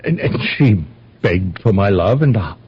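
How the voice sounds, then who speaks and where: A man's voice: lowish voice, animated, unhurried, breathy edge, full character voice. An actor playing a flustered, earnest house guest in a radio drama, stammering and repeating his words in agitation. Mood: nervous, wistful. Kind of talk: old-time radio drama acting. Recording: dull lo-fi radio recording.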